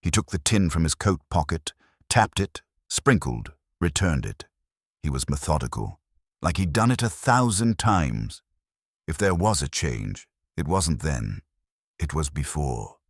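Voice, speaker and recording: Man voicing a precise, deep-voiced retired inspector. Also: sing-song, a little slow, husky, dry recording, clean studio sound, heavily acted. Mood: emphatic. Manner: clipped, matter-of-fact. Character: precise, methodical retired male subway inspector.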